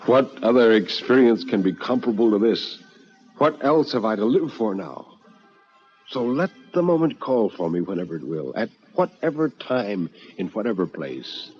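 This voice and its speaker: An actor playing an elderly, anxious but philosophical man, narrating in a deliberate, literary manner for radio drama. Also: on the low side, sing-song. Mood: reflective, resigned. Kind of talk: old-time radio dramatic reading. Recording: muffled vintage radio recording with music.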